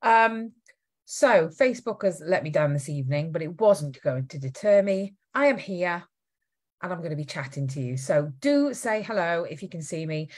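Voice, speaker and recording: A woman from Wales whose Welsh accent has softened over the years. She hosts a casual live stream and talks in a chatty, lively way, greeting viewers and reading out their comments. She is speaking into a webcam or phone mic. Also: lowish voice, moderate pace. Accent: faint Welsh. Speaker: founder hosting a live stream.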